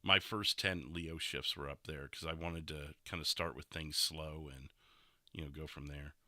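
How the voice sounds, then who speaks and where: A man's voice: extremely low pitch, fairly even intonation, normal speed, pushed and bright, dry recording. A man hosting a livestream podcast, chatting casually and loosely while looking back over recorded gameplay.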